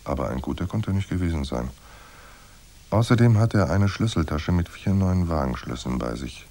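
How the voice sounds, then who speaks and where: An actor playing a hard-boiled private detective in a noir radio drama, dry, laconic and sardonic. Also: male voice, deep voice, lively intonation, moderate pace, dark tone. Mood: dry, wry. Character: hard-boiled male private detective narrating, wry and unflappable. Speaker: narrator and lead actor.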